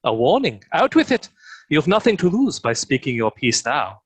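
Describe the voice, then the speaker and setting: Man acting a sneering soldier, higher-pitched, dry sound. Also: sing-song, moderate pace, slightly rough, no room sound, low-quality recording. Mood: bitter contempt. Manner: archaic, sneering, declamatory. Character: contemptuous, taunting soldier, bitter toward condemned prisoner. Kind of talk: dramatic table read of historical play.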